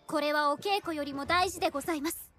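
A woman's voice: high voice, quick pace, a bit bright, soft background music. A woman playing a poised, determined young samurai daughter in a game, formal and polite. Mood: concerned, resolute. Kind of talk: samurai game drama acting.

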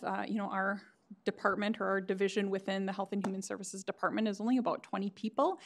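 A woman's voice: mid-range voice, gravelly, a little hiss or hum. A woman public health official giving a board meeting briefing over a video call, hesitant with many fillers.

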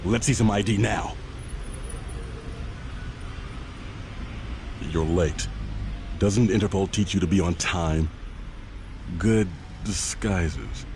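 Deep-voiced brusque federal agent. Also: male voice, a little slow, pauses often, a little mellow, a little hiss or hum. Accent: American. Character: brusque, businesslike male American federal agent. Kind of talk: video game cutscene acting.

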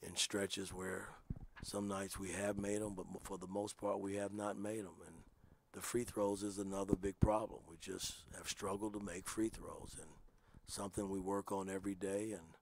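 Low flat-voiced older coach. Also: male voice, vocal fry, a little hiss or hum. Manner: measured, self-critical, plain-spoken. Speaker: basketball coach. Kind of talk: postgame press conference answers. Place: press room with sponsor backdrop. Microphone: table microphone.

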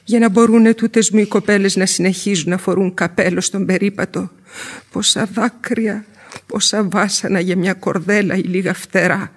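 A woman's voice: medium pitch, steady tone, husky. An actress playing a garrulous, complaining, impoverished widow and mother in a radio play, lamenting at length. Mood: aggrieved lamenting. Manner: plaintive, long-winded lamenting. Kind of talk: radio theatre acting in Greek.

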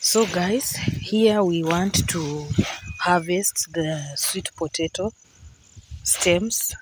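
Middle-aged woman, Kenyan English accent, unhurried step-by-step explaining, outdoors, phone mic. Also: on the low side, slight background noise, rough recording. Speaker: vlogger. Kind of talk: farm walkthrough vlog. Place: outdoors on a farm field. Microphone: handheld phone camera.

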